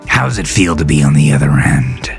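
Horror audio drama killer, voiced by a male narrator: deep, menacing, mocking, unhurried, over music. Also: very animated, vocal fry, exaggerated voice. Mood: taunting. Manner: taunting, deliberate, cursing. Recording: music bed behind voice.

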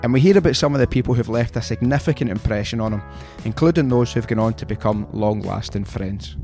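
A man's voice: fairly low pitch, fast, a little mellow, faint music behind. A Scottish man hosting a podcast, reading a scripted intro and then trading friendly, cheeky banter with his guest. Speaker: podcast host.

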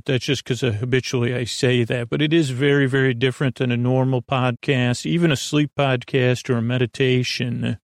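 A man with a creaky voice hosts a sleep podcast, rambling through a bedtime monologue with halting repetitions and drawn-out words. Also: mid-range voice, no echo, studio quality. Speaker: podcast host. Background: music.

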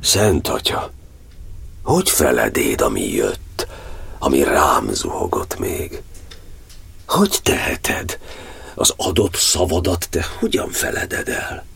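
Radio drama proud, reproachful old cardinal: husky, crackling voice, impassioned verse with wide swings. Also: male voice, a bass voice, wildly swooping pitch, pauses often, fairly bright tone, full character voice. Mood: anguished reproach. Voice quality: raspy, gravelly. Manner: declamatory, impassioned. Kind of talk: verse monodrama radio play acting.

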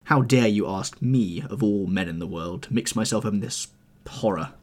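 A man doing a cold, contemptuous gentleman. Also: on the low side, animated, fairly quick, husky. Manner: hard, cold, indignant.

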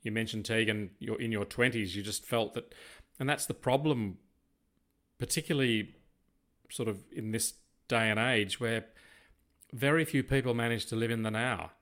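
A middle-aged Australian man chatting reflectively as a podcast co-host, telling things in a rambling, unhurried way. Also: lowish voice, fairly bright tone. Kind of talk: reflective conversational chat.

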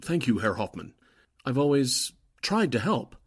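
A man's voice: mid-range voice, big pitch swings, unhurried, breathy edge, dry recording, clean studio sound, heavily acted. A man acting a deferential, uneasy underling, a newspaper editor who is secretly an agent, in 1940s radio drama style with an earnest, hesitant manner.

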